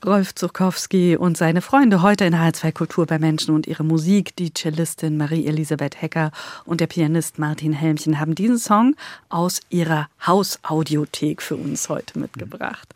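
A radio host with a clear, conversational manner leads an interview and introduces music. Her voice sits on the low side and sounds dry and close in the studio. She keeps things easy and direct. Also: darkish tone, no echo.

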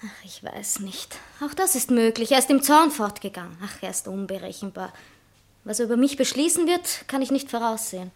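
A woman's voice: fairly high pitch, expressive, a little fast. An actress playing a proud, sentimental woman novelist, dramatic and self-justifying in a satirical stage play. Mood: agitated, resigned. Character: proud, sentimental woman novelist torn between two lovers. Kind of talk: stage satire acting in literary German.

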